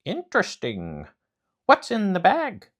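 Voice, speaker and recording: An eager barking dog. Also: male voice, high-pitched, wildly swooping pitch, slow pace, a bit bright, pitched above the performer's own voice, full character voice. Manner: yappy, interjects barks.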